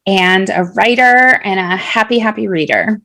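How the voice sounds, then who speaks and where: A woman's voice: mid-range voice, lively intonation, normal speed, pushed and bright. A middle-aged woman, an educator and writer, co-hosting a podcast in casual chat, enthusiastic and often repeating her phrases.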